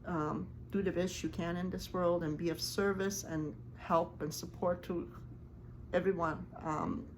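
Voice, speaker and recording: A woman who grew up speaking Farsi, a life coach talking casually to camera in earnest, halting speech with many ums and restarts. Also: fairly low pitch, steady tone, slight background noise, low-quality recording.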